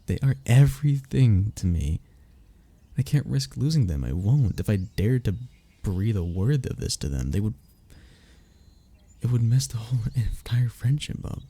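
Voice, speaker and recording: A man acting a lovesick, anxious young man in an intimate romantic audio roleplay, with a halting, emotional delivery and breathy murmurs. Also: fairly low pitch, very animated, warm tone, heavily acted. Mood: defensive, anguished. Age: young adult. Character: lovesick, anxious young man confessing to childhood best friend.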